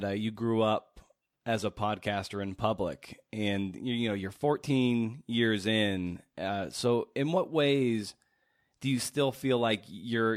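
Man, somewhat low pitch, casual interview host with halting, meandering delivery; close, dry sound. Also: no echo. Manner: halting, circles around his questions. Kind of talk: casual interview, long questions.

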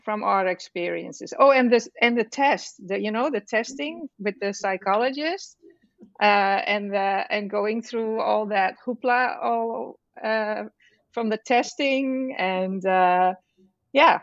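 A woman in her fifties with a Dutch accent, a children's book author being interviewed, talking in a halting way with many fillers and restarts. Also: medium pitch, no echo, highs cut off. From Netherlands. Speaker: author guest. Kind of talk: casual interview chat.

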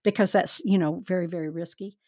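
A woman hosting a true crime podcast, telling the case in a chatty, animated, wry way. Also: fairly low pitch, fairly quick, no room sound, clean studio sound. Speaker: podcast host.

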